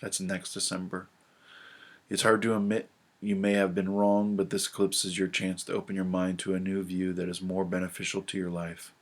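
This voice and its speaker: A man with a deep, steady voice that barely rises or falls, presenting from his notes in a relaxed way. His nose is stuffed up from a cold, so every word comes out nasal. He stops and restarts often and fills the gaps with ums. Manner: halting, many ums and restarts. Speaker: astrology video presenter. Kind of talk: casual talk to camera, reading notes.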